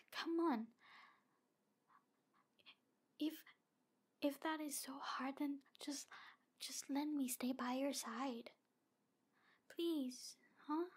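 A jealous demon woman, acted by a woman in an intimate ASMR roleplay. Her voice is high and slow, breathy and halting, pleading with obsessive devotion and often sinking toward a whisper. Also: steady tone. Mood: pleading, hurt.

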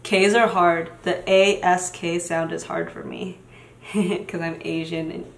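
A woman's voice: fairly low pitch, steady tone, slow, gravelly, reverberant. A young woman in her twenties, a beauty vlogger whose first language was Chinese, chatting in a rambling, casual way at a camera in a small room. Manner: chatty, rambling, trails off into mumbles. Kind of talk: casual chat.

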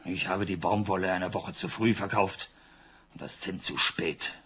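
A man's voice: low voice, fairly even intonation, unhurried, fairly bright tone, slightly rough, exaggerated voice. An actor playing a cornered banker who pleads and tries to excuse his losses, in a German radio play. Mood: evasive. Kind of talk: 1980s German radio drama acting.

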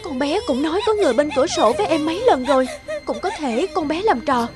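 A woman's voice: high-pitched, lively intonation, quick pace, music in the background. A woman voicing a doting young mother in a cartoon. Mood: concerned. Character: doting young mother fussing over her baby. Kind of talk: cartoon voice acting.

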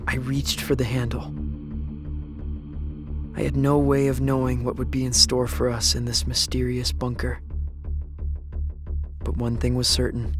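A grave young male survivor played by an actor recounts his ordeal for a drama podcast. His mid-pitched voice stays even and measured, like a written journal read aloud, over a clear music bed. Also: close to an everyday voice. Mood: grave, reflective. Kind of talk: scripted first-person dramatic narration.

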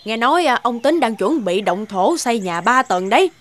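An actress playing a gossipy, excitable village neighbour in an animated drama, eager to share news. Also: female voice, on the high side, moderate pace, lightly acted. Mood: eager gossip. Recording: loud music behind the voice.

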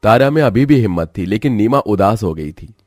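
A man's voice: lowish voice, animated, fairly quick, darkish tone, no room sound, studio quality, faint music behind. A man narrating a scripted moral story as a storyteller.

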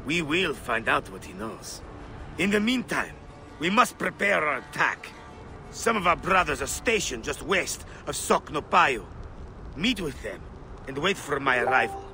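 Game role: fervent rebel commander, man, out for vengeance, slightly high, intensely forceful and sharp, emphatic delivery. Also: sing-song, a little slow, faint music behind, full character voice. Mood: resolute, plotting. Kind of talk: dramatic game cutscene dialogue.

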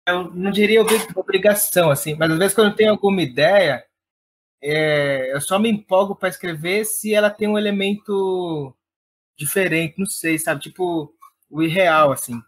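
A man's voice: sits high, moderate pace. A man talking casually and enthusiastically as an interview guest, in an informal way. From Brazil. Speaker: guest, playwright and theatre director.

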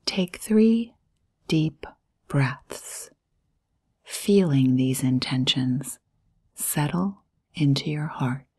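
A low-pitched woman leads a guided meditation in a breathy, near-whispered voice. She speaks very slowly and soothingly, leaving generous pauses between short phrases. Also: a little mellow.

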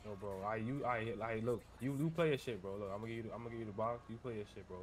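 A man's voice: lowish voice, quick pace, a little hiss or hum. A young man doing casual, slangy street roleplay in an online game, often repeating himself. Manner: slangy, repetitive.

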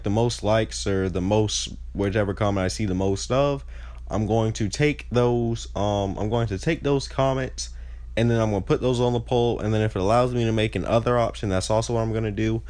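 A man's voice: medium pitch, darkish tone, no room sound. A young man hosting a gaming channel, chatting casually with a nasal, stuffed-up voice and often losing his train of thought. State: congested. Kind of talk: casual chat over gameplay.

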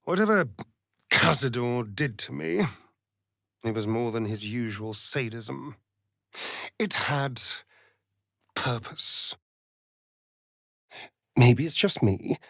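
A sly vampire rogue for a fantasy video game, British and flamboyantly camp. He drawls slowly and teasingly, his pitch swooping theatrically from line to line. The recording is dry and close, with a sardonic, arch delivery throughout. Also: sing-song, vocal fry, dry recording, exaggerated voice. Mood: pensive.

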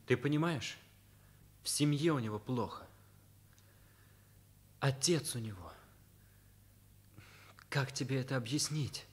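A man's voice: medium pitch, takes it slowly, pauses often, close to an everyday voice. An actor in a Soviet radio play playing a loyal, frank teenage schoolboy who argues insistently and reproachfully with his father. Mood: pleading. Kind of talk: Soviet radio drama acting.

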